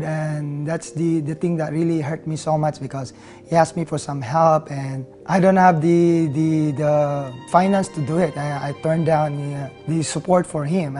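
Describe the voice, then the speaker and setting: A man in his thirties from the Philippines with a Filipino accent, telling his own story in an interview, earnest and halting with many fillers. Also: fairly high pitch. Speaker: nurse turned network marketer. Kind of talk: interview testimonial. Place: living room, seated on a leather couch. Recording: soft music under the voice.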